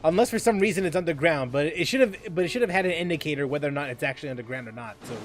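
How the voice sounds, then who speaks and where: A man's voice: a little high, animated, rapid-fire. A man streaming a game live, thinking aloud as he plays and answering viewers' questions in a loose, chatty way. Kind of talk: live gameplay commentary.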